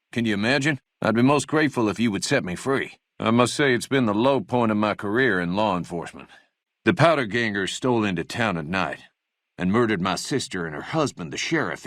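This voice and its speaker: A man's voice: on the low side, fairly bright tone, dry recording, studio quality. An actor playing a meek, self-important small-town deputy in a video game, fussy, wordy and mannered in his politeness. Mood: plaintive, pompous. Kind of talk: scripted game dialogue acting.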